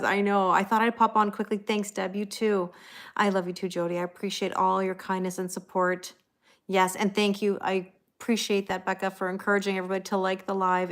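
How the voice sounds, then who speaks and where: A middle-aged woman hosting a tarot livestream, chatting casually and thanking viewers by name. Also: medium pitch.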